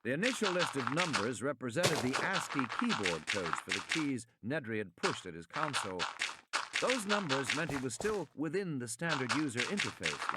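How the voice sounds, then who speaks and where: A man reading scripted audiobook narration with deliberate, precise enunciation. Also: medium pitch. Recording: loud gunfire and clicking game noise behind.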